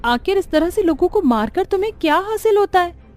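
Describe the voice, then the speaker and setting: A woman's voice: extremely high pitch, expressive, fairly quick, darkish tone, soft background music, voice pushed up. A woman voicing a brave young woman who boldly confronts a witch in a cartoon story. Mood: confronting. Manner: confrontational, probing.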